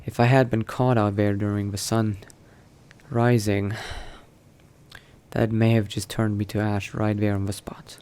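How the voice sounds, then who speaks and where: A man playing a cautious, brooding newly turned vampire, thinking aloud in hushed, halting phrases in a video game roleplay. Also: fairly low pitch, little pitch movement, smooth, natural voice. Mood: relieved, reflective. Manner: hushed, halting self-talk with sighs and pauses. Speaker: roleplay gamer. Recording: noticeable background noise.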